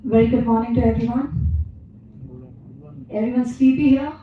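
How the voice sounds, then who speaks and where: An Indian woman from Mumbai giving an energetic conference talk to physiotherapists, her voice partly lost, often pausing with "uh.". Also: a little high, slight background noise. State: losing her voice. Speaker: guest speaker at a physiotherapy event. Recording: echoey hall, distant dull mic.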